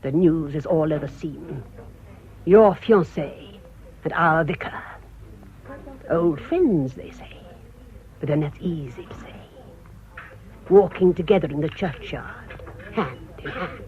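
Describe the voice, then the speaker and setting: Haughty, indignant British village spinster. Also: female voice, low-pitched, slow, pauses often, darkish tone. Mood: spiteful, insinuating. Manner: imperious, emphatic, accusing. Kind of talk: British radio drama acting. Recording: muffled radio drama recording.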